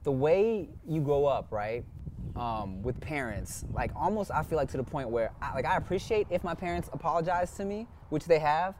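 A man in casual, relaxed podcast conversation, talking about his parents and laughing easily. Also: on the high side, moderate pace, slight background noise. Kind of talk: casual chat.

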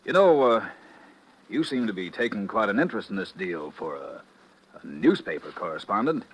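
An actor playing a wary plantation owner, insinuating and needling, in a 1950s radio drama. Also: male voice, fairly low pitch, big pitch swings, normal speed, a bit bright. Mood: needling, guarded. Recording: noisy old radio broadcast.

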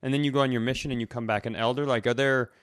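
A man's voice: medium pitch, fairly even intonation, rapid-fire, smooth, no room sound. A man from Minnesota with an American accent, co-hosting a comedy podcast in casual, rambling, digressive chat. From Minnesota, United States.

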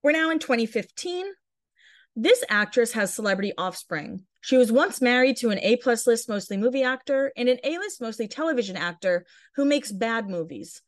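Female podcast co-host, a touch higher pitch, casual chatty read of gossip items. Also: expressive, normal speed. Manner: chatty, reads blind items aloud. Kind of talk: casual celebrity gossip chat.

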